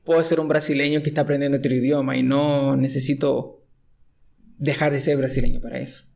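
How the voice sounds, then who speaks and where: A young Chilean man with a gravelly, creaky voice hosts a relaxed podcast chat. He speaks in a mid register, circling back and restarting his sentences as he thinks. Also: mid-range voice, fairly quick. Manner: hesitant, restarts and fillers. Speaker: podcast host. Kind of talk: casual conversational podcast.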